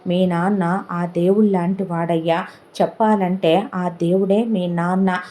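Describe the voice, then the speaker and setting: A middle-aged uncle speaks low and hushed, weighed down by regret, as a woman narrator plays him. His voice is mellow and dark, and he tells his story slowly. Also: fairly even intonation, lightly acted. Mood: sorrowful. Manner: slow, heavy storytelling.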